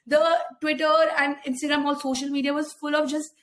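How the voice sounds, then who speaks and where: A woman's voice: sits high, little pitch movement, a little fast, fairly bright tone, slight background noise. A young woman with an Indian accent, co-hosting a casual podcast, talking hesitantly with many fillers. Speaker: podcast co-host.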